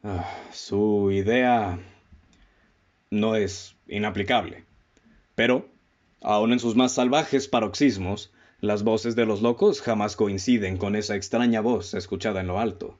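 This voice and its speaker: Actor as low-voiced, methodical detective, muffled recording. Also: male voice, steady tone, darkish tone, natural voice. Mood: reasoning. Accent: Mexican. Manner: didactic, deliberate, formal. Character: analytical male detective, methodical, composed, leading his listeners. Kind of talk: radio drama acting, formal literary dialogue. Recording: dull, muffled lo-fi audio.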